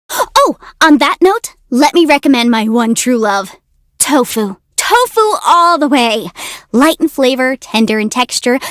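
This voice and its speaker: A woman voicing a young, quick-witted half-immortal legal adviser in a video game, articulate, self-assured and playful. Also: high-pitched, fairly bright tone, dry recording, studio quality, heavily acted. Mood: playful, proud. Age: young adult. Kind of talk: video game character voice lines.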